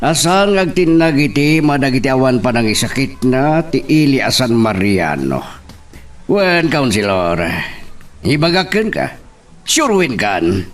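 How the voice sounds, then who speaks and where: A man's voice: mid-range voice, very animated, a little slow, faint music behind. A man acting a reassuring yet plotting small-town mayor in an Ilocano audio drama, with an Ilocano accent. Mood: reassuring, assured. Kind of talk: amateur Ilocano radio-style drama acting.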